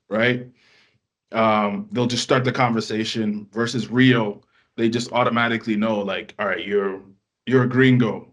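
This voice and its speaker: An American man speaking casually as a podcast guest, in reflective, measured phrases. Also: lowish voice. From United States. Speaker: podcast guest, language student. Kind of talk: casual interview answer.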